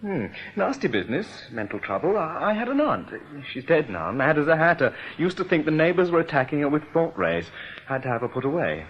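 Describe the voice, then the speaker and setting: A man's voice: on the high side, sing-song, fairly quick. An actor playing a bumbling, jovial English second-in-command at a bank in a radio play, blunt and musing, yet deferential to his boss. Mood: tactless, musing. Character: bumbling, tactless, jovial male deputy executive at a bank. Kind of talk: British radio play acting. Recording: dull, hissy old radio recording.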